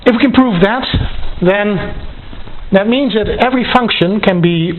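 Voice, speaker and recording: A university maths lecturer thinking aloud through a proof, halting and deliberate in delivery. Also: mid-range voice. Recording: dull lo-fi sound, faint noise.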